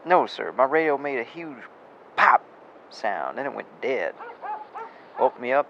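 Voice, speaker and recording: An actor playing a plain-spoken rural farmer and landowner, rambling in folksy speech in an amateur radio drama. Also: male voice, mid-range voice, very animated, unhurried, gravelly. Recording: dull lo-fi with faint noise.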